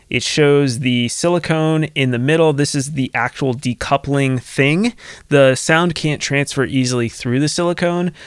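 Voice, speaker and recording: A man's voice: mid-range voice, clear, resonant tone, no echo. A man in his thirties with a North American accent, explaining conversationally as a tutorial host, close to a desk microphone in a home studio. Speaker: soundproofing instructor and video host. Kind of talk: instructional screen-share tutorial. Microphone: desk microphone close to the mouth.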